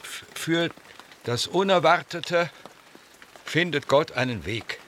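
An actor playing a blunt, emotional, stubborn gardener in a radio drama, with an emphatic, declamatory way of talking. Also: male voice, fairly high pitch, lively intonation, slow pace, bright, forceful tone, heavily acted. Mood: solemn, mournful. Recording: rain noise behind the voice.

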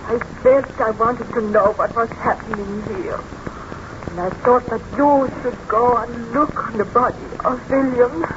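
A woman's voice: on the high side, steady tone, measured pace, natural voice. A woman playing a concerned baroness in a 1930s radio serial, formal and questioning. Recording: dull, noisy vintage radio recording.

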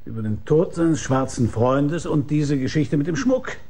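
Hard-boiled detective, dark husky voice, mid pitch, wry and laconic, radio crime drama narration. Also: male voice, sing-song, unhurried. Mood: probing, sardonic. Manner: laconic, dry, probing. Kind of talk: German radio crime drama, first-person narration and dialogue.

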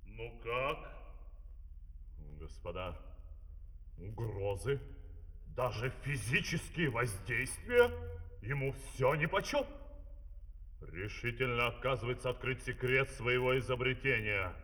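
An actor playing an imperious, menacing dictator in a comic fairy-tale musical audio play, pompous and commanding. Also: male voice, medium pitch, animated, sharp tone. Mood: pompous, resolute. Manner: pompous, commanding, slow emphatic delivery. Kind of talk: theatrical Soviet musical audio play acting. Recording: vintage vinyl record, long hall reverb.

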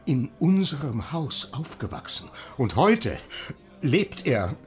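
A man's voice: mid-range voice, very animated, slow, soft background music. An actor playing a stern, pious, middle-aged father who speaks emphatically in a radio drama. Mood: agitated, indignant. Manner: emphatic, rhetorical, unyielding. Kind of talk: German radio drama acting.

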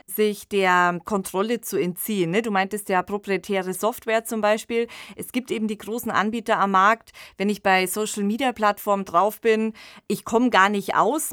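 A woman speaks as a podcast co-host. Her voice sits at an average pitch, and she explains ideas in a reflective, conversational manner. The sound is dry, with no room around it. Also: a bit bright, no room sound.

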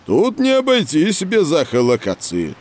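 Patient, animated researcher. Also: male voice, a little high, unhurried. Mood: explanatory. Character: patient male dolphin researcher explaining experiments to children. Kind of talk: scripted children's educational audio drama.

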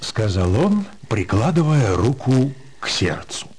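A man narrating a literary radio drama with an expressive storytelling delivery. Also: medium pitch, slow pace, breathy edge.

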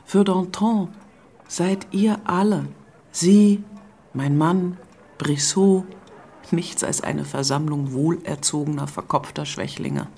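A woman's voice: lowish voice, slow pace, dark tone, husky, full character voice. An actress playing a proud, resolute and scornful woman of politics in a radio play, speaking intensely.

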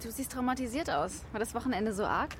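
Lively, teasing young woman student, played by an actress. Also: fairly high pitch, fast, noisy background, lightly acted. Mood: curious, teasing. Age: early 20s. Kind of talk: naturalistic radio drama dialogue.

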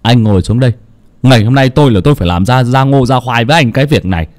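A man's voice: mid-range voice, fast, darkish tone, voice pushed up, lightly acted. A man voicing a shaken middle-aged villager who stammers and wails. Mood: gruff. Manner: stammering, wailing.

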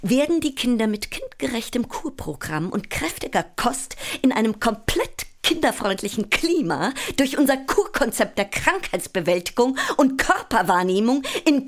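An actress playing a frazzled, feisty small woman in a theatrical stage monologue about her childhood. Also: sits high. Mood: mock-official. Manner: theatrical, crisply alliterative, swinging between whisper and declamation. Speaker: stage actress performing a solo show. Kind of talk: theatrical monologue with bursts of song and wordplay.

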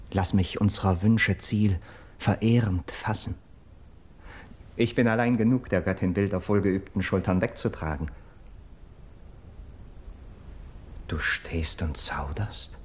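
An actor playing an urgent, persuasive young nobleman of Greek myth in a classical verse radio drama, with declamatory, measured stage diction. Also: deep voice, husky, heavily acted. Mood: urgent, probing.

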